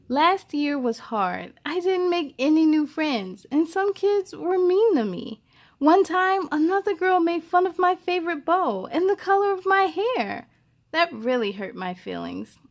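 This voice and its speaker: A woman reading as a shy, earnest young schoolgirl in a storybook, confiding in simple phrases. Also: sits high. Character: shy, nervous, earnest schoolgirl narrating her storybook life.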